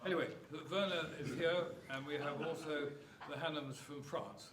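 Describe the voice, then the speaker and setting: A man's voice: a little high, steady tone, normal speed. Older man addressing a meeting, echoey and distant. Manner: hesitant, rambling, repeats words. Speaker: club chairman. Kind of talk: informal welcome speech at a club meeting. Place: echoey hotel meeting room. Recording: echoey room, distant mic, faint noise.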